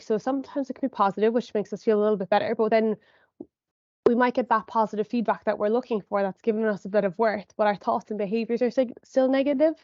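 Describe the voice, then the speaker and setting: A woman's voice: fairly high pitch, a little mellow, dry recording, muffled recording. A young woman psychologist, sniffly from a cold, giving an explanatory online talk to parents, hedging often with "I suppose" and ums. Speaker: sport and exercise psychologist presenter. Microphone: video call.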